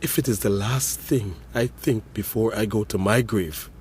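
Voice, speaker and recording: An actor playing a grieving brother who gives an intense, accusing eulogy, swinging from hushed menace to shouts. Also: male voice, on the low side, normal speed, a little hiss or hum, lightly acted. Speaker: eulogy speaker at a funeral. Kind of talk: dramatic audio story monologue.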